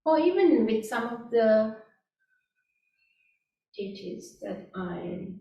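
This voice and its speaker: A woman from Sri Lanka, a meditation teacher, gently answering audience questions at a workshop. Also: on the high side, very animated, highs cut off. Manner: gentle, unhurried, with frequent "you know" and "right?". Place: community meeting room. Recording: echoey room, picked up from a distance.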